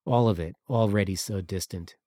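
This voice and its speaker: A man's voice: low-pitched, moderate pace, dark tone, dry recording, clean studio sound. A man narrating a work of fiction as a scripted audiobook.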